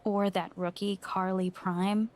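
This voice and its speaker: A woman's voice: medium pitch, little pitch movement, slow pace, natural voice. A woman playing a sarcastic, candid friend of a wrestler in a video game cutscene.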